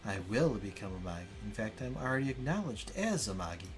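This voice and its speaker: A man's voice: on the low side, big pitch swings, a little fast, pitched below the performer's own voice. A man voicing an obsessed, haughty villainess over music. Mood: scornful. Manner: haughty, theatrical. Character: obsessed, scornful female impostor villain. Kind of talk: dramatic visual novel read-aloud. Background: game music.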